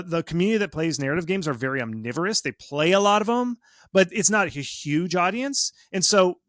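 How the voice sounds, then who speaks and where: A man giving casual, animated explanations as an expert guest in an interview, speaking informally and with emphasis. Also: on the high side, no echo, studio quality. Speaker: video game marketing expert, interview guest.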